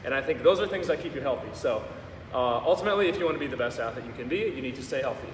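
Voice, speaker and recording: A man's voice: mid-range voice, very fast, a little hiss or hum. A young man, a strength coach, talking loosely and joking in a casual interview. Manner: relaxed, joking, explains in long loose runs. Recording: echoey room, mic far off.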